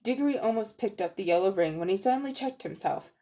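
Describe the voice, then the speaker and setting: A woman's voice: mid-range voice, lively intonation, fast, warm tone. Woman narrating a story expressively. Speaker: audiobook narrator.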